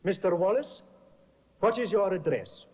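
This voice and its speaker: An actor playing a sharp, persistent defense barrister cross-examining witnesses in an old radio drama, heard through a dull restored broadcast. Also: male voice, high voice, lively intonation, measured pace, husky, exaggerated voice. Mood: pressing. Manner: probing, pressing cross-examination. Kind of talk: 1950s radio acting.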